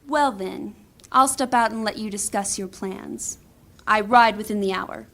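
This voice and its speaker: A woman's voice: medium pitch, a little slow, gravelly, fairly bright tone. An actress playing a hard, commanding woman thief in a fantasy audio drama, sardonic and menacing. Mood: brisk, commanding.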